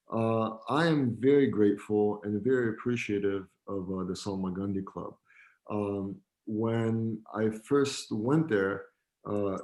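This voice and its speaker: Friendly man, lowish voice. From Romania. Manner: relaxed, friendly, joking. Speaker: guest, gallery founder. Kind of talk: casual video-call interview. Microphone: video call.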